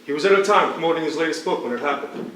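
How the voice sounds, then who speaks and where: A man plays a hospital doctor in a stage drama, speaking plainly with wry sympathy. His voice is fairly high and comes echoing from some distance, with faint noise. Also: expressive, very fast, fairly bright tone. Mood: matter-of-fact. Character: matter-of-fact male hospital doctor, wryly sympathetic. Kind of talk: naturalistic stage dialogue.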